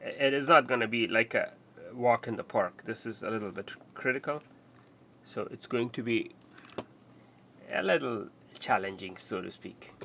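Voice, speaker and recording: Male tutorial presenter, mid-pitched and bright, explaining hands-on steps off the cuff, with repeats and rephrasing. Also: gravelly, a little hiss or hum. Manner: halting, repeats and rephrases instructions.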